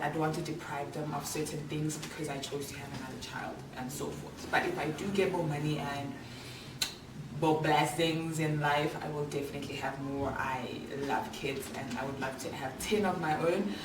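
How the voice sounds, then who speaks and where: A young South African woman in her mid-twenties chats candidly to camera with a low voice, dropping slang and fillers as she goes. She sits a little off from the mic in an echoey room. Clear background noise runs under her voice. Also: recorded from a distance. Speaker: vlogger. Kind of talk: casual Q&A chat to camera.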